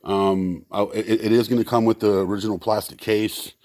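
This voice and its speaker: A man with a fairly low voice walks through used equipment he is selling, casual and unscripted. He thinks aloud, often halting and unsure mid-sentence. The sound is very dry, with no room echo. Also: fairly even intonation, a little mellow. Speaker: seller demonstrating used equipment.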